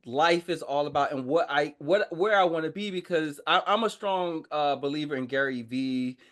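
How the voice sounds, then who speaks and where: A man with a slightly high voice, talking as a guest on a podcast. He tells personal stories casually and with animation. His phrasing is informal, often breaking off and starting again. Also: normal speed, fairly bright tone. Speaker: podcast guest, army veteran. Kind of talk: casual conversational personal storytelling.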